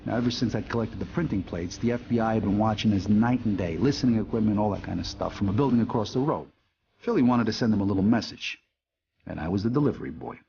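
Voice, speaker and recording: A man's voice: lowish voice, fast talker, gravelly, mellow, highs cut off, slight background noise. An actor playing a world-weary, wisecracking American mob enforcer, telling his story in a casual, tough, profane hardboiled narration. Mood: wry, matter-of-fact.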